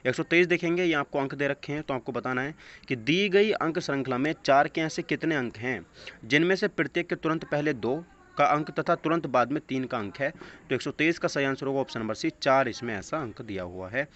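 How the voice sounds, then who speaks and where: A man's voice: mid-range voice, a little fast, fairly bright tone. A man teaching a test-prep lesson, reading out questions and answers in an instructional way and mixing in English phrases. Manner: instructional, mixes English phrases into his speech. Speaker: exam coaching teacher. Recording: dull lo-fi audio with clear background noise.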